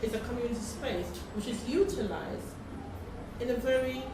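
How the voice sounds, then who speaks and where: A woman giving a reflective account as a community member, in measured and rather formal wording. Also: fairly high pitch. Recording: very echoey, distant, noisy room.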